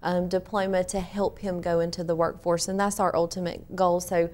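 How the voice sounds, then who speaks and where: A woman's voice: lowish voice, level tone, moderate pace, a little mellow. A woman giving conversational, explanatory answers as an interview guest. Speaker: interview guest, education program representative.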